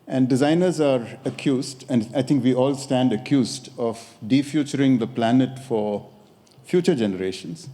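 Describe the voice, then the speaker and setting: A man in his sixties from India with an Indian accent gives a measured, pausing conference talk. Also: medium pitch, warm tone. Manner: measured, pausing with occasional hesitations. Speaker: design academic giving a talk. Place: lecture hall at a podium. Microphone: podium microphone. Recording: echoey hall.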